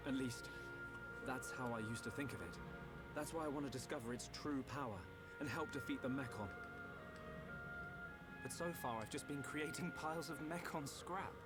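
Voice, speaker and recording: An actor voicing an earnest young British sword-wielding hero, grieving and determined, in a video game cutscene. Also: male voice, medium pitch, normal speed, natural voice, poor audio. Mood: sincere, reflective. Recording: loud game music behind the voice.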